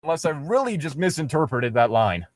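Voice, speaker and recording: A man's voice: fairly high pitch, sing-song, fairly quick, dry recording, studio quality. A man co-hosting, reading a story aloud and breaking off for casual, sardonic comments. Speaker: co-host.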